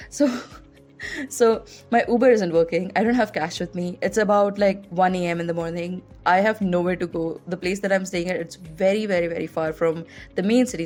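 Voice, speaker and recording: A woman's voice: medium pitch, a little mellow. A young woman from India with an Indian English accent, hosting a podcast and telling personal stories in a chatty way full of "like" fillers. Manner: chatty, heavy use of "like" fillers. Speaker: podcast host. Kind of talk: casual personal storytelling.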